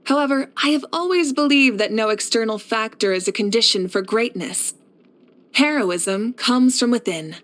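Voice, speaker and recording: A woman playing a confident, kindly fire archon and war leader in a video game, speaking in an assured, measured, encouraging way. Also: a little high, fairly bright tone, close to an everyday voice. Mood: earnest, assured. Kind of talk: scripted video game character voice lines.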